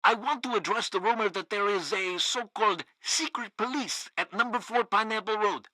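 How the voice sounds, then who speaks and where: Bumbling male state-radio announcer, piercingly bright, dry close mic, pompous and excitable comic game delivery. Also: high voice, normal speed, no room sound, exaggerated voice. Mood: indignant. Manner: excitable, theatrical. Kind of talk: comic propaganda radio broadcast acting.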